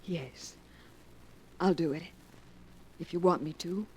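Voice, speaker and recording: A woman's voice: fairly low pitch, slow, loud music behind the voice, low-quality recording. An actress playing an anxious, lovestruck young woman in 1940s radio drama style, with an emotional, breathless, melodramatic delivery. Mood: frightened.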